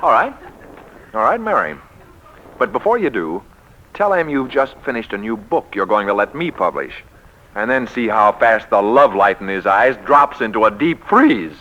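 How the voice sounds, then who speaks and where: An American actor playing a brash, sardonic, lovesick book publisher in a 1940s radio romantic comedy. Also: male voice, on the low side, big pitch swings, normal speed, sharp tone, full character voice. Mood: indignant, urgent. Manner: wisecracking, insistent, faltering when flustered. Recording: muffled, noisy 1940s radio recording.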